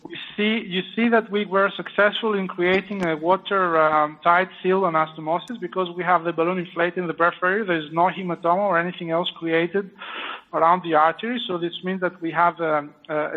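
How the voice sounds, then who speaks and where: A high-voiced man with a French accent talks through a surgery step by step, pausing often with fillers. His voice comes over a thin, tinny line that sounds like a phone. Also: fairly even intonation. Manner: explanatory, halting with many fillers. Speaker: surgeon performing a live procedure. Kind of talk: live procedure commentary.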